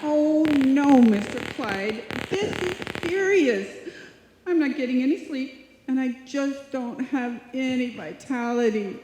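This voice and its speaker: A woman's voice: sits high, slow pace. A woman playing a sweet, naive, dim-witted young secretary in an old-time radio comedy staged live. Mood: earnest. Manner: earnest, dim-witted, guileless delivery. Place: live stage performance in a hall. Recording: echoey hall, faint noise, live stage.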